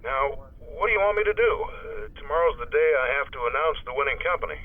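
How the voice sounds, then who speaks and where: City official, radio drama: earnest, formal, mid-pitched, tinny telephone sound. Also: male voice, fairly quick. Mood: concerned. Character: earnest, formal city councilman reporting trouble. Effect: telephone filter. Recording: tinny narrow-band phone line.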